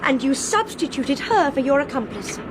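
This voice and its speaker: A woman's voice: sits high, sing-song, moderate pace. An actress playing a determined, impassioned young woman traveller in a radio drama, urgent and insistent. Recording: clear background train noise.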